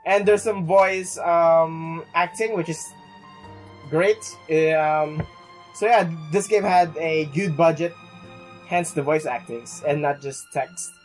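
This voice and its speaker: Let's play host: young male, fairly high voice, halting and rambling delivery, game music behind. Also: fairly even intonation, low-quality recording. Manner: halting, stumbling, rambling, mild swearing. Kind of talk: casual game commentary, reading on-screen text aloud.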